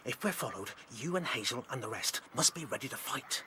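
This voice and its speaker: A man's voice: mid-range voice, animated, fairly quick, noisy background, lightly acted. An actor playing a bold, determined male rabbit officer in a radio drama, assertive and persuasive. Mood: businesslike.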